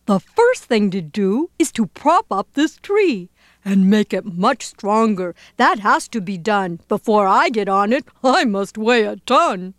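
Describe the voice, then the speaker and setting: A woman voicing a huge, gentle, faithful male elephant from a children's storybook, speaking earnestly and deliberately. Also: mid-range voice, animated, no echo, studio quality, lightly acted. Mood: earnest, obliging.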